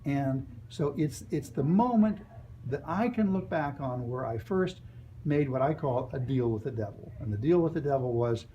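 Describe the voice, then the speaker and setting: Expressive male memoir reader, background noise. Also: medium pitch, normal speed, highs cut off. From United States. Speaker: author. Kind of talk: expressive memoir reading and personal reflection.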